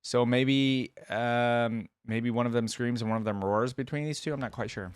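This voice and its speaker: A man's voice: mid-range voice, steady tone, normal speed, clear, resonant tone, dry recording, studio quality. A man hosting a reaction video, giving casual commentary and musing aloud in a conversational way.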